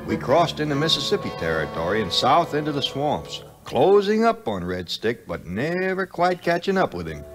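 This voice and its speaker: A man's voice: a little high, moderate pace, hardly any pauses. A man playing a folksy frontier sidekick, telling his adventures with dry humor in a 1950s audio adventure. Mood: animated, wry. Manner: folksy, dryly humorous, animated. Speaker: narrator. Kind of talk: scripted first-person storytelling, 1950s audio adventure. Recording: 1950s record, music behind.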